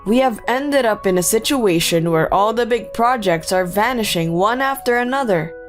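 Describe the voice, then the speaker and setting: A woman's voice: on the high side, expressive, normal speed, faint music behind, lightly acted. A woman voicing a blunt, businesslike office employee in a scripted manga dub. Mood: urgent, blunt. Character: blunt female office employee reporting to her boss.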